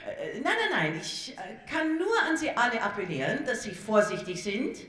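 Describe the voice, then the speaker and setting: A woman as an exasperated care-home manager in a radio drama, official yet a bit flustered. Her highish voice swings animatedly and rings in an echoey room. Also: very animated, normal speed, a bit bright.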